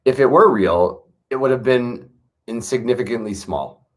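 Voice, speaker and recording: A man reading an old wisdom text aloud in a measured, earnest way, with brief casual asides to his listeners. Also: medium pitch. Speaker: reader of a classic text.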